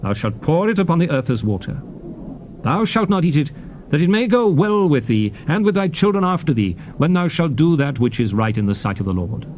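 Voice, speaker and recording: A man narrating scripture in a formal, declamatory style, with solemn, measured and emphatic phrasing. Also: on the high side, big pitch swings, a little mellow, highs cut off. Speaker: narrator of a dramatized scripture audiobook. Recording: noisy old cassette tape recording.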